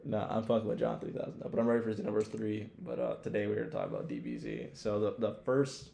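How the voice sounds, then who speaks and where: A man with a low, dark voice co-hosts a casual podcast. He talks in a rambling, slangy, sweary way, like a keen fan. The sound is echoey, as in an untreated room. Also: quick pace, creaky, a little hiss or hum. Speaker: podcast co-host. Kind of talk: casual chat, profanity-laden.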